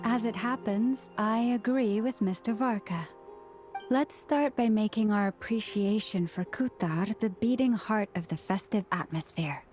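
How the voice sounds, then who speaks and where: A woman's voice: mid-range voice, darkish tone, soft background music, close to an everyday voice. Woman playing a composed, courteous young woman. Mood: encouraging. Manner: formal, measured, polite. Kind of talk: animated game dialogue.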